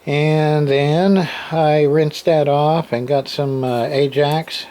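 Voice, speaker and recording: A man casually narrating hands-on repair work, thinking aloud in a rambling, halting way and muttering to himself. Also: fairly high pitch, fairly even intonation, mellow. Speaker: hobbyist radio repairer. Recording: clear background noise, room ambience.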